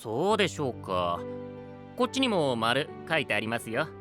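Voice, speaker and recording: An actor playing a polite, amused young male knight who gently chides, speaking softly and formally in an anime-style voice drama. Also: medium pitch, wildly swooping pitch, moderate pace, over music. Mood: polite, curious. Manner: soft polite formal speech.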